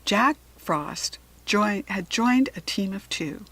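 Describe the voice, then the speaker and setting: Husky American woman reading a storybook. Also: mid-range voice, animated, takes it slowly, a bit bright. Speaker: storybook reader. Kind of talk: expressive children's storybook narration.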